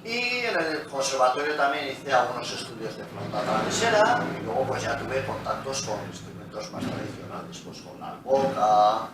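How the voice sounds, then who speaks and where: A man's voice: medium pitch, sing-song, normal speed, hardly any pauses. Middle-aged man, roomy distant echo. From Pamplona, Spain. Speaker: guest musician. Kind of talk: relaxed interview answers. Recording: roomy, echoey, from a distance, faint noise.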